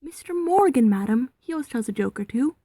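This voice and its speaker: A woman's voice: sits high, expressive, fairly quick, dark tone, no echo, clean studio sound. A woman playing a polite, dutiful household maid in a radio play, servile and deferential.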